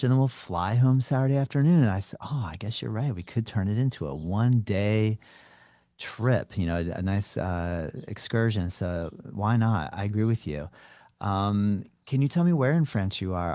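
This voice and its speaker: A man's voice: on the low side, expressive, normal speed, warm tone, dry recording, studio quality. A man hosting a podcast, asking curious, rambling questions on a video call. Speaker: podcast host. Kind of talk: casual interview conversation.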